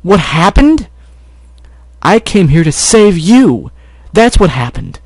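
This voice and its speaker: A man voicing an exhausted adult stallion who shouts and scolds his little sister. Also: medium pitch, normal speed, dry recording, lightly acted. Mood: angry. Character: adult stallion, exhausted and angry big brother.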